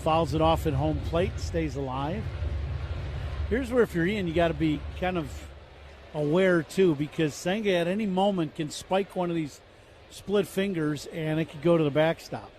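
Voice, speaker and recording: A man with a notably high voice breaking down pitches and strategy as a radio color commentator. He talks in a conversational, analytical way and leans hard on his key points. Faint noise runs under him. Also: normal speed, a little mellow.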